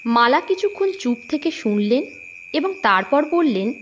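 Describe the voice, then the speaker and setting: A woman narrating an audio story in an expressive, dramatic storytelling manner. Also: high-pitched, measured pace, a little hiss or hum.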